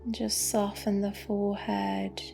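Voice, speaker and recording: A woman leads a guided meditation gently and soothingly, in a soft, breathy voice that often drops close to a whisper. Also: mid-range voice, level tone. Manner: gentle, unhurried, with long pauses. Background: music.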